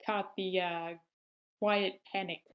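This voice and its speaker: Female host, mid-pitched, informal and wordy, casual discussion leader who searches for her words. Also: little pitch movement, slow, muffled recording. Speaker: host of a screenplay table read discussion. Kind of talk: casual chat.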